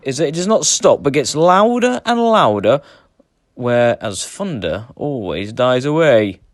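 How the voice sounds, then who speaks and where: A man voicing a wary, observant Viking warrior in a storybook, deliberate and portentous. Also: mid-range voice, very animated, no room sound, clean studio sound. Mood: uneasy.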